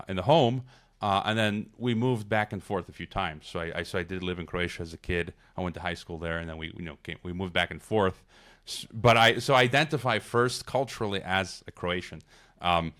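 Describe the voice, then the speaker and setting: Low-voiced man from Chicago, rambling. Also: fairly bright tone. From Chicago, United States. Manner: rambling, digressive, many restarts. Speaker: podcast guest. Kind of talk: casual interview conversation.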